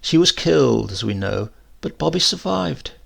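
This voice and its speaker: A man's voice: mid-range voice, very animated, moderate pace, creaky, fairly bright tone. A man narrating a horror story in the first person, in a dramatic, brooding and suspenseful manner.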